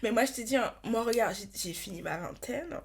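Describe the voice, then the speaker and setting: A woman's voice: fairly high pitch, expressive, a little fast, creaky, husky. A woman in her thirties hosting a podcast, chatting casually in a slangy, direct and teasing way. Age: 30s. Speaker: podcast host. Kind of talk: casual chat.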